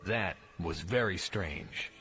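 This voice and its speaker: An actor playing a wary, skeptical Jedi newcomer with a blunt, puzzled manner in a sci-fi audio drama. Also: male voice, deep voice, takes it slowly, pushed and bright, soft background music, heavily acted. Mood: awed, hesitant. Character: wary, skeptical male Jedi newcomer, former dark-side warrior.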